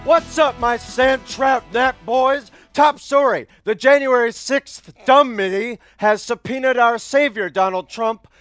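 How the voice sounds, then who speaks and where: Brash cartoon cable-news pundit, very high-pitched, smug and clueless, dry close sound. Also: male voice, fairly bright tone, clear, resonant tone, no echo. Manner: bombastic, smug. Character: brash male right-wing pundit host, cocky and clueless. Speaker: panel show host. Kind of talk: satirical cable news commentary.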